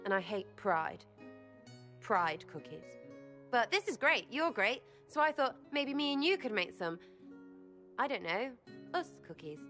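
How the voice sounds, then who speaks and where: A woman's voice: a little high, sing-song, measured pace, long pauses, bright, forceful tone, in a large hall, soft background music, heavily acted. A woman playing a mischievous, blunt young elven rebel in a video game, with an English accent and a cheeky, slangy, irreverent way of talking. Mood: wistful, bitter.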